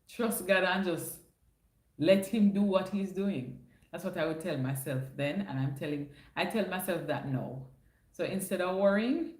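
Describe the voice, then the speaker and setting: A woman with a Jamaican accent hosting a casual livestream, chatting through viewers' questions and slipping into patois phrasing. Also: fairly low pitch. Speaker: livestream host answering chat. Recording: roomy echo.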